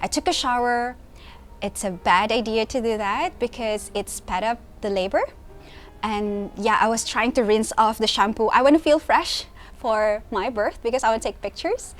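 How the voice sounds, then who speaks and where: A woman in her late thirties telling her story in a brisk, matter-of-fact way. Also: a little high. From Singapore. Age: 30s. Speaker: mother sharing her story. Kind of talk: personal testimony.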